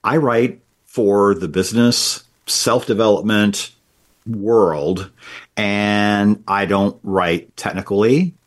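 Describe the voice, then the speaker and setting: A man's voice: fairly low pitch, steady tone, slow. A man hosting an interview, asking questions and giving frequent short acknowledgements. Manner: frequent short backchannel replies. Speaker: interview host.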